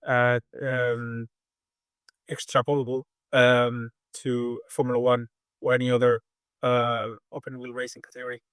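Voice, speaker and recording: A Spanish-accented man speaks in a smooth, resonant voice that stays fairly flat and even. He answers slowly and thoughtfully, pausing often. The sound is close and dry, with no room echo. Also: medium pitch. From southern Spain. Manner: measured, thoughtful, with many hesitations. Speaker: aerodynamics engineer, guest. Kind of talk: interview answers.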